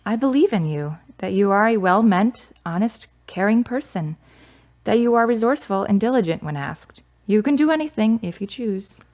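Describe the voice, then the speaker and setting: An actress playing a kind, reserved grieving daughter in a radio drama, speaking in a measured, earnest way. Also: female voice, medium pitch, expressive, a little mellow, natural voice. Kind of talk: serial radio drama acting.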